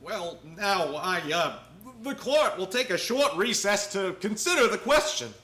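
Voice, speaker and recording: A man acts out an older dignitary from a radio play, easily flustered and indignant. His voice is high and bright, and it swoops about as he hesitates. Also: big pitch swings, unhurried, a little hiss or hum, exaggerated voice. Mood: worried, indignant. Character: flustered older judge and blustery store owner. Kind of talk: stage-like radio play acting.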